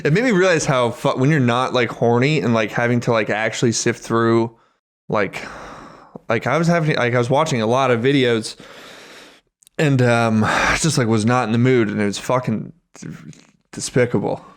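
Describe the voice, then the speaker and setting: A man's voice: mid-range voice, moderate pace. Male podcast co-host, thirties, loose conversational delivery, crude humor, plenty of swearing. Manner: crude, joking, swears freely. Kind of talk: casual chat.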